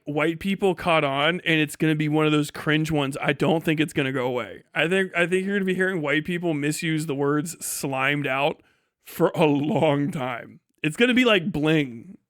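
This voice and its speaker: A man hosts a livestream in a casual, irreverent voice that sits on the high side. He jokes and swears freely as he comments. The sound is close and dry, with no room around it. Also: a bit bright, no echo. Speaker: livestream host.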